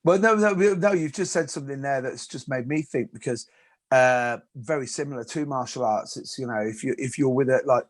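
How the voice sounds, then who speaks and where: A man's voice: mid-range voice, normal speed. A man hosting an interview, chatty and self-deprecating, often repeating words as he talks. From England. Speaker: host and martial arts instructor. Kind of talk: casual interview chat.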